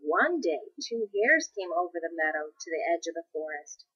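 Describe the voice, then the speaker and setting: A woman reading a children's story aloud as expressive scripted narration. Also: on the low side, moderate pace, husky, no echo, lo-fi sound. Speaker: storybook reader.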